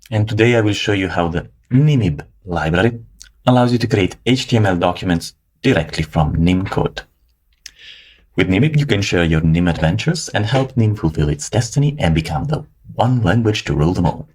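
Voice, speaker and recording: Dude doing a coding screencast, lowish voice, keyboard clacking. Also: male voice. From Italy. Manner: upbeat, explains while coding. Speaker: tutorial presenter. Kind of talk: screencast tutorial narration. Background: keyboard typing.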